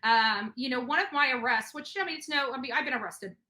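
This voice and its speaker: A woman's voice: high voice, fast talker, bright, forceful tone. A woman talking casually and bluntly about herself in an interview, repeating phrases for emphasis. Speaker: interview guest. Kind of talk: casual personal storytelling in an interview.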